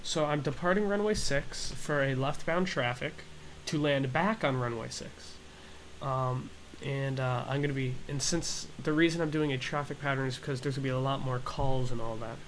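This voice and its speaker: A man's voice: medium pitch, normal speed, poor audio. A man hosts a flight simulator tutorial in a mid-range voice. He talks casually and a little hesitantly as he explains each step. There is noticeable noise and ambience behind him. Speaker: gaming tutorial host.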